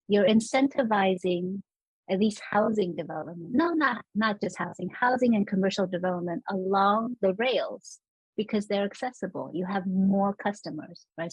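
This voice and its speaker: A woman scholar from Los Angeles explaining urban planning in a casual interview, animated and laughing now and then. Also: mid-range voice, husky, no room sound, poor audio.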